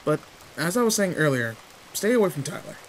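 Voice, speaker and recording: A man's voice: on the high side, brisk, a little hiss or hum, rough recording. Man playing teasing delinquent boy in the rain. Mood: protective. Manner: casual slangy, teasing, swearing. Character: tall teenage delinquent boy, teasing, protective, secretly sweet. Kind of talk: roleplay audio drama, intimate one-sided dialogue. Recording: steady rain ambience behind voice. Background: rain in a forest.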